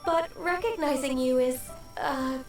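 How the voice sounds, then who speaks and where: A woman voicing a gentle, dutiful young secretary in a video game, courteous and earnest. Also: high voice, measured pace. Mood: polite, earnest. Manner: soft-mannered, courteous, earnest. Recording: very echoey, distant game audio with music.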